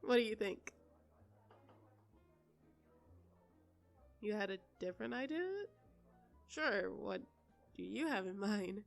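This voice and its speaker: A polite, easily flustered female pop star acted by a woman talks straight to the listener in an intimate audio roleplay. Her voice sits at a middle pitch, halting and conversational, with an apologetic way about her. Music plays behind her. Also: vocal fry, lightly acted. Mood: earnest, persuasive.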